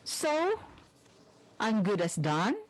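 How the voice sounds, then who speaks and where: A woman with a Filipino accent giving an instructional radio lesson as a teacher, in a deliberate way. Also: medium pitch, big pitch swings.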